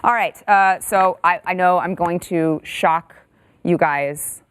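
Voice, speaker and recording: A woman's voice: lowish voice, takes it slowly, clear, resonant tone, no echo. A woman from Texas hosting a news panel show, breezy and joking as she chats with her guests. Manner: breezy, joking, self-mocking. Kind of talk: casual panel chat.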